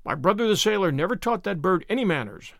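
Storybook role: brisk, outspoken old man, played by a male narrator, brusque yet kindly, very animated. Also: sits high, gravelly, no echo, clean studio sound. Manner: brisk, blunt, folksy.

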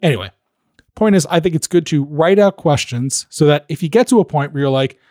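A man who coaches podcast hosts, giving animated advice in an interview and acting out quoted voices. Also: on the high side, fairly quick, dry recording, studio quality.

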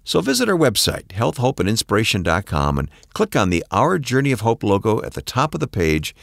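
Low gravelly co-host, lively delivery. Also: male voice, a little fast, no room sound, studio quality. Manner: smooth announcer delivery. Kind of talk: radio announcement and hosting.

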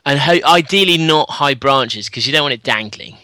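A man's voice: mid-range voice, animated, moderate pace, bright, forceful tone, no room sound. A man co-hosting a podcast, frankly explaining things and laughing easily. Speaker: podcast co-host. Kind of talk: casual chat.

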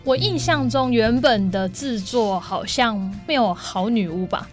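A woman's voice: on the high side, animated, measured pace. A young woman with a Taiwanese Mandarin accent, chatting casually as a guest in an interview. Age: young adult. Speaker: guest, singer and stage actor. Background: music playing behind the talk.

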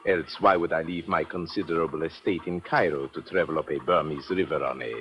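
Deep, florid Egyptian gentleman. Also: steady tone, a little fast. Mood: grandly romantic. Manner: florid, courtly, theatrical. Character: flowery, suave Egyptian gentleman adventurer. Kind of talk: 1950s radio adventure acting. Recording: dull vintage 1950 radio recording.

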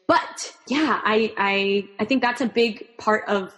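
A woman's voice: medium pitch, slow, fairly bright tone. Woman from Miami, first language Spanish, casual interview guest, thoughtful and restarting often, reverberant room. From Miami, Florida, US. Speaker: guest, performing artist.

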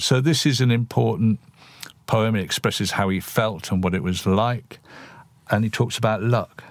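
A man with a deep voice talks as a guest in a casual discussion. He is thoughtful and a little tentative, checking for agreement as he goes. The sound is very dry. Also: no echo. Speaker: poet, podcast guest.

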